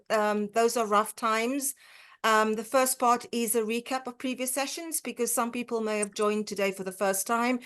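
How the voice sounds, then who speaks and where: South african lady giving an online talk on diet, lots of ums, restarts herself. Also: a little high. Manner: hesitant, many fillers and restarts. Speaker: dietitian lecturer. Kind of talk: online lecture presentation.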